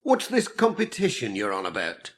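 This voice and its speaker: A man's voice: on the low side, huge pitch swings, normal speed. A man voicing a cheerful, easygoing village postman with a dry wit and chatty, folksy talk in a children's audiobook. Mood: cheerful, curious.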